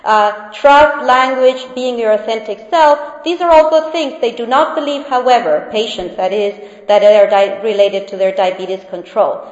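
A woman with an Argentine accent gives an academic talk in a roomy lecture hall with a long reverb tail. Her voice sits a little high, and the sound comes through slightly dull. She pauses often and restarts her sentences as she goes. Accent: Argentine Spanish. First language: Spanish. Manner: hesitant, many fillers and restarts. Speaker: researcher presenting findings.

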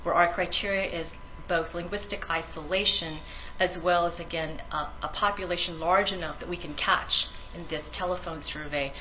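Low-voiced woman giving a talk. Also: steady tone, normal speed, dull audio, a little hiss or hum. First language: Tagalog. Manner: earnest, many ums and restarts. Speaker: survey researcher presenting. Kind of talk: conference presentation.

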